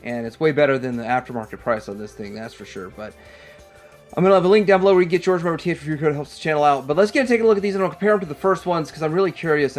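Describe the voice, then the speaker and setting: A man hosting a casual hands-on toy review, rambling and thinking aloud as he goes. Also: fairly high pitch. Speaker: toy review channel host.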